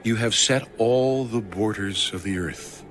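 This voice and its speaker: Slow, solemn male scripture narrator. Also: on the low side, a bit bright. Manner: measured, dramatic, formal. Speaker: audio Bible narrator. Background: background music.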